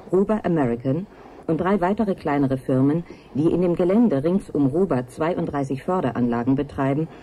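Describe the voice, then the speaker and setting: An actress playing a sober radio news announcer, reading bulletins in a formal, factual manner. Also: female voice, low voice, dark tone, slight background noise, rough recording.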